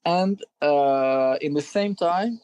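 A man's voice: fairly high pitch, slow pace, darkish tone, clean tone, no echo. A man in his twenties from Algeria, a photographer guest chatting casually, hesitant with many fillers and restarts. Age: 20s. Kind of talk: casual interview chat.

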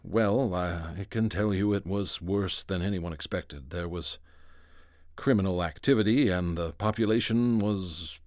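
A reserved professor in a radio play, speaking in a lowish voice, close and dry. He is scholarly and measured, choosing words with discreet hesitation. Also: a little mellow, no echo. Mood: guarded, grave. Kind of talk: radio drama interview.

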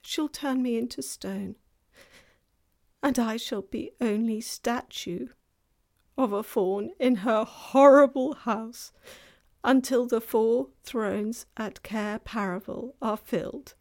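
A woman plays a gentle male fawn in a children's story, racked with guilt and fear. The voice is high and very slow, full of anguished self-reproach and melodrama. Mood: tearful, afraid. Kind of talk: dramatized children's storybook reading.

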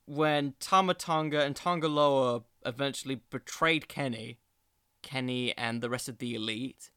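A man's voice: medium pitch, steady tone, a little slow, a bit bright, smooth, dry recording, clean studio sound. A man hosting a podcast in a casual commentary style, thinking aloud in a rambling, self-deprecating way. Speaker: podcast host.

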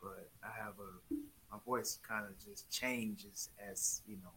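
A soft-spoken man with a smooth, lowish voice and a bright, forceful edge talks loosely and rambles, with "you know" all through his speech. A faint hiss sits under the line. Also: fairly even intonation, measured pace, low-quality recording. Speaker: guest. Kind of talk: casual group discussion.

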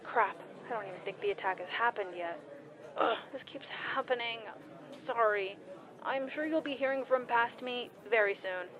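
A woman plays a casual female caller in an audio comedy drama, with a fairly high voice. She is offhand, a bit exasperated and apologetic. The line is muffled, with faint chatter behind. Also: moderate pace, lightly acted.

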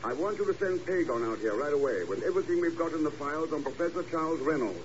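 A man's voice: a little high, lively intonation, fast talker, natural voice. An actor playing a composed male secret agent in a 1950s radio drama, speaking in a dry, understated way. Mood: serious, calm. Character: calm, unflappable male secret agent reporting in. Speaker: espionage agent. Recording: muffled old radio recording with hiss.

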